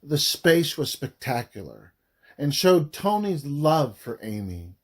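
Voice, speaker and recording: A man reading a scripted audiobook narration in a deliberate way, phrase by phrase with frequent pauses. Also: a little high, big pitch swings, slightly rough. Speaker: author reading own audiobook.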